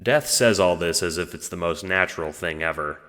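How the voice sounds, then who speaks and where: A man's voice: low-pitched, moderate pace, fairly bright tone, long reverb tail. A man reading scripted second-person narration for an audio drama.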